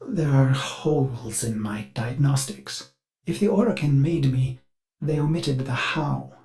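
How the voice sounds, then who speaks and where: Devoted spaceship computer mind, fussy and formal, existential unease, scripted game dialogue. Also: male voice, mid-range voice, a little mellow. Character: anxious, devoted male ship AI with existential dread.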